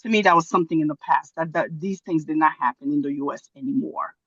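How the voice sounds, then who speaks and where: A woman's voice: low-pitched, quick pace, a bit bright, husky, no room sound. A woman from Haiti speaks on a video call, circling back and restarting her sentences. The audio is dry and dull, typical of a lo-fi call connection, with no room around the voice. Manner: hesitant, many fillers and restarts. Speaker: audience member commenting. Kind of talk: conversational commentary on a video call.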